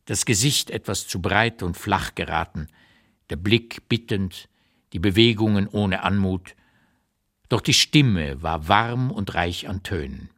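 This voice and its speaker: An actor playing a blunt 85-year-old writer looking back on his life, reading memoir notes in a measured, deliberate, emphatic way for a literary radio play. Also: fairly low pitch, a bit bright, full character voice. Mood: reflective, candid.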